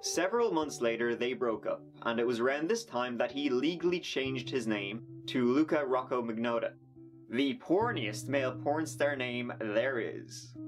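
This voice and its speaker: Young man, true crime narration, wry sarcastic asides, mid-pitched, faint music behind. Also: animated, moderate pace. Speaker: documentary narrator.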